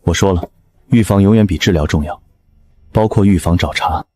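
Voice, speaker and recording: A man playing a calm, low-voiced vet. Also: a little fast, natural voice. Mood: dry, composed. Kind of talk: scripted drama dialogue.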